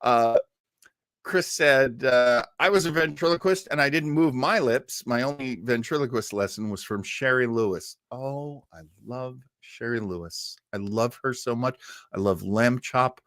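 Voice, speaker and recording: A man's voice: mid-range voice, measured pace, fairly bright tone, no echo, studio quality. A man hosting a casual show about old-time radio, chatting in a rambling, jokey way. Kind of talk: casual livestream chat reading viewer comments.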